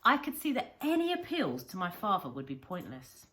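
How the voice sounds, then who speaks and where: A woman reading a children's story aloud like a schoolteacher, with deliberate phrasing and dramatic emphasis. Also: medium pitch, huge pitch swings, fairly bright tone, slight background noise.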